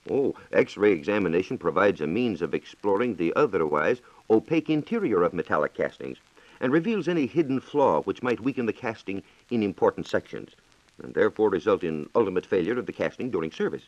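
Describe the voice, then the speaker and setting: Low-voiced American 1940s radio science reporter. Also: male voice, very animated, no echo. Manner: formal, explanatory, measured diction. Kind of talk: scripted 1940s radio exposition. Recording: dull, lo-fi 1940s radio recording.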